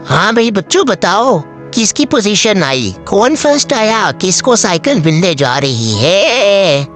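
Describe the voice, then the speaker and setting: A man's voice: high voice, sing-song, takes it slowly, a bit bright, soft background music, heavily acted. A man playing a concerned middle-aged father, strict yet indulgent, in a children's moral story. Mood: cheerful.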